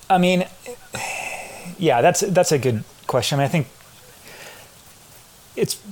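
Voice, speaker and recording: A man's voice: fairly high pitch, animated, takes it slowly, darkish tone. A man in his thirties with a slightly nasal voice, a podcast guest talking casually, full of fillers and restarts. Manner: hesitant, rambling, many restarts and fillers. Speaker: podcast guest, former runner. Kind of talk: casual interview chat.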